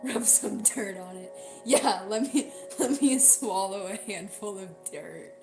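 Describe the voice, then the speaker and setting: A woman with a hoarse, strained voice that breaks up at times, chatting casually and jokingly with livestream viewers. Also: mid-range voice, slow pace. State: hoarse, sore strained voice from singing. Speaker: livestream host, singer. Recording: roomy echo, loud music behind. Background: loud background music.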